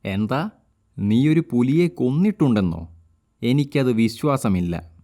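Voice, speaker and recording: A man's voice: mid-range voice, expressive, unhurried, warm tone. A man voicing a big male tiger in a cartoon, first menacing and later cowed. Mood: doubtful. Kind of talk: cartoon voice acting.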